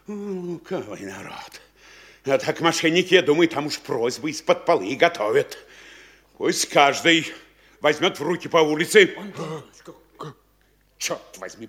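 A man's voice: mid-range voice, a bit bright, slightly rough, exaggerated voice. An actor playing a blustering, anxious town mayor in a stage comedy, bossy and flustered as he gives out orders. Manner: bossy, flustered, changes orders mid-sentence. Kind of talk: theatrical Russian stage comedy acting.

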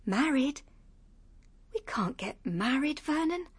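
A woman's voice: high-pitched, very animated, slow, background noise, exaggerated voice. An actress playing a discontented, jealous young woman in a radio drama, with a British accent and a petulant manner. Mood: distressed. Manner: petulant, emotional.

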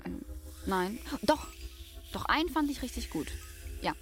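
A woman's voice: a little high, very animated, a little slow, soft background music. Young woman, earnest, searching, thoughtful, hesitant, natural radio drama delivery. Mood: hesitant, guarded. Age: young adult. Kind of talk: naturalistic radio play acting.

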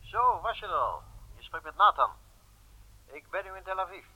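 A male actor playing a brisk intelligence colleague on the telephone in a radio drama. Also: high-pitched, sing-song, husky, muffled recording, heavily acted. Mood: businesslike.

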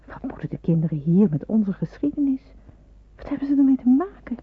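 A woman's voice: on the high side, very animated, brisk, slightly rough, exaggerated voice. An actress playing an earnest, anxious young woman in wartime hiding, musing hesitantly in an old radio play. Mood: hushed, tense, musing. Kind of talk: Dutch radio play acting with hushed inner monologue. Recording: muffled, narrow-band old radio recording.

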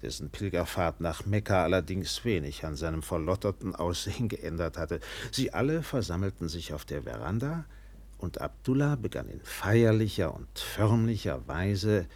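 An actor playing a worldly, blunt steamship captain who also serves as storyteller in a German radio play. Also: male voice, sits low, lively intonation, normal speed, darkish tone. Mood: measured storytelling. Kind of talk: German radio play, dialogue and literary narration.

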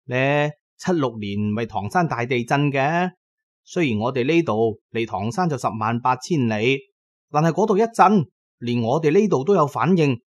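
A man's voice: mid-range voice, animated, close to an everyday voice. A man voicing a young, eager, gossipy village accountant in an audio storybook, with a chatty way of telling news. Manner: chatty, drawn-out tale-telling with particles.

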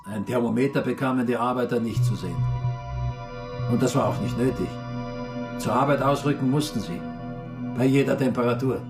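A man's voice: mid-range voice, fairly quick, long pauses, lightly acted. A man reading scripted literary narration for a radio drama. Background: music.

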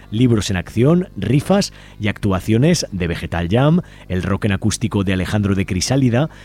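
A man's voice: lowish voice, warm tone. A man with an accent from Spain hosting community radio, giving informal announcements and addressing listeners directly in a conversational, inviting way. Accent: Spanish from Spain.

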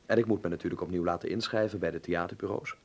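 An actor playing an earnest, eager young Dutchman in a radio drama, expressive and a little hesitant. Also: low-pitched, racing, gravelly. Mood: animated.

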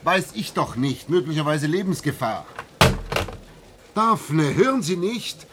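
A male ministry official in a radio drama. He is brisk and slightly wry, with a fairly high voice for a man. Faint noise sits behind the dialogue. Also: sing-song. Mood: alarmed. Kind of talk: German science-fiction radio play dialogue.

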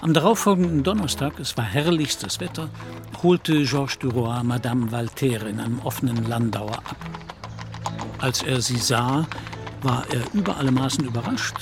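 A male narrator tells a literary story for an audio drama. His voice sits at a medium pitch and his delivery is measured and articulate. Music plays clearly behind him.

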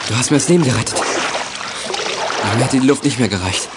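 An actor playing a naive, shaken scuba diver in a children's radio play. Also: male voice, medium pitch, lively intonation, a little fast, heavy background noise, lightly acted. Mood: shaken, grateful.

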